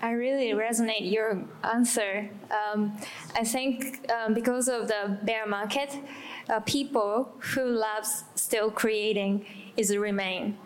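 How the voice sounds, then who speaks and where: A woman with a Japanese accent, a native Japanese speaker, speaking as a panelist in halting English while she searches for words. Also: fairly high pitch, fairly even intonation, a little hiss or hum, poor audio. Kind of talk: live panel discussion.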